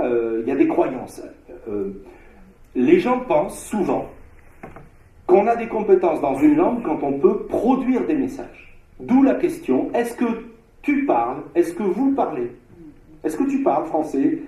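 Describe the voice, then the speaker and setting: A man from Marseille giving an academic conference lecture, discursive, with frequent filler pauses and restarts. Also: fairly high pitch, expressive, mellow, echoey room, rough recording. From Marseille, France. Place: lecture hall.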